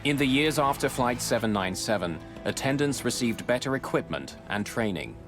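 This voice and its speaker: Measured male documentary narrator over music. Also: medium pitch, expressive. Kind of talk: scripted dramatic documentary narration. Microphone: close studio voice-over mic. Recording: music bed under narration.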